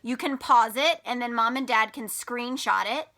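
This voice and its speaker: High bright lady teacher doing a read-aloud for little kids, explains stuff simply. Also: moderate pace. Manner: explains simply to children, asking them questions. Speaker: children's teacher reading aloud. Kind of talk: picture-book read-aloud for young children.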